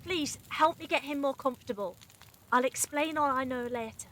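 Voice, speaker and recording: A woman's voice: high voice, normal speed, fairly bright tone, a little hiss or hum. A woman playing an anxious, firmly protective carer in an audio drama. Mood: urgent, protective. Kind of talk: fan audio drama acting.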